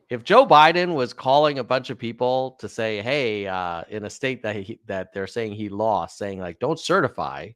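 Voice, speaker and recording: Guy doing casual news commentary, smooth clear voice, close dry mic. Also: medium pitch, a bit bright, dry recording. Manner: chatty, offhand asides.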